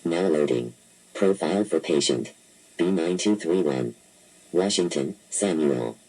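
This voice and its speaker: A man's voice: rumbling low, measured pace, exaggerated voice, rough recording. A synthetic-style male voice playing a cold computer system that reads out patient files in clipped, emotionless phrases. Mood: cold, clinical. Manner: flat, clinical, emotionless. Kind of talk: clipped readout of clinical records.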